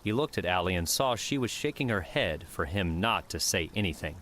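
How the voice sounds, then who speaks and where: A man's voice: sits low, lively intonation, fairly quick, fairly bright tone, a little hiss or hum. A man reading scripted fiction narration as an audiobook narrator.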